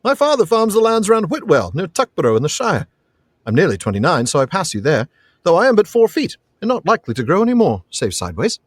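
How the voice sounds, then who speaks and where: A man's voice: sits high, huge pitch swings, quick pace, no echo, clean studio sound, voice pushed up, exaggerated voice. A man reading a novel aloud, voicing a small, plucky young halfling with a playful, mock-menacing manner. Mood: good-humoured.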